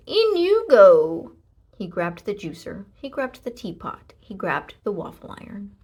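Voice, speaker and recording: A woman reads a children's story aloud at an unhurried pace. Her pitch moves up and down a great deal, and her voice has a husky, breathy grain. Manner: expressive, deliberate storytelling with emphatic short sentences. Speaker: storybook reader. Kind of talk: children's read-aloud narration.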